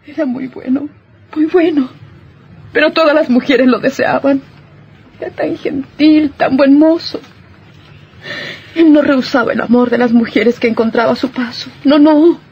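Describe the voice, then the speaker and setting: A woman playing a fragile, guilt-ridden young wife, halting and anguished, in a radio melodrama. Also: sits high, a little mellow, dull audio. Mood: anguished confession.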